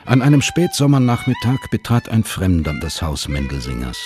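An actor as a solemn storyteller, narrating a literary radio drama in measured phrases. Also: male voice, fairly low pitch, sing-song, music in the background. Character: sombre storyteller of a family tale.

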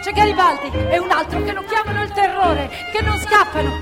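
A woman's voice: very high voice, fairly quick. An actress playing a defiant working-class woman, recalling factory protests in an emphatic, plain-spoken radio play narration. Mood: indignant. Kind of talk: theatrical narration in a live radio play with music. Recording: long hall reverb, music underneath. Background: live musical instruments.

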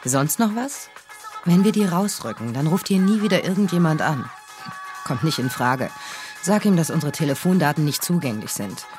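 An actress playing a combative, sarcastic radio talk show host in a German radio drama, self-assured and condescending. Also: female voice, sits low, animated, moderate pace, music in the background. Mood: irritated, defiant.

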